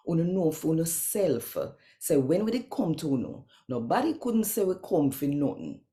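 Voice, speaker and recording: A woman with a Jamaican accent reading scripture expressively in Patois, with deliberate, emphatic, storytelling phrasing. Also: deep voice, dark tone. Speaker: scripture reader.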